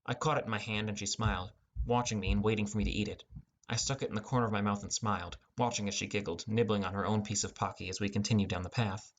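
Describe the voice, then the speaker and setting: A man's voice: fairly low pitch, steady tone, fast talker, a little hiss or hum. A young man reading a first-person fantasy audiobook as a scripted narration.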